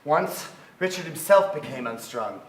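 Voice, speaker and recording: A man's voice: fairly high pitch, expressive, a little slow. An actor playing a wistful man recalling his boyhood in theatrical literary narration. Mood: wistful, intense. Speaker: stage actor in a staged reading. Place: theatre stage. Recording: echoey theatre hall. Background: violin music.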